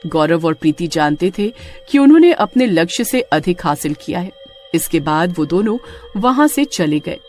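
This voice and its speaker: Expressive woman narrating a dramatic story. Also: fairly low pitch, moderate pace, faint music behind. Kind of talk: scripted Hindi story narration.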